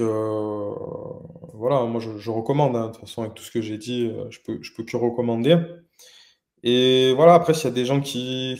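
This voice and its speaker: A man's voice: mid-range voice, a little mellow. A young man chatting casually in an interview about his business, hesitant, with many fillers and restarts. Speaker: interviewed entrepreneur.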